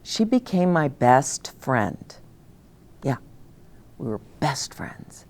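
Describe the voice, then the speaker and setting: An older woman hosting a children's story time, speaking simply and expressively to young children as she shares stories and advice. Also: very deep voice. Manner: simple, expressive, addressing children directly, with dramatic pauses.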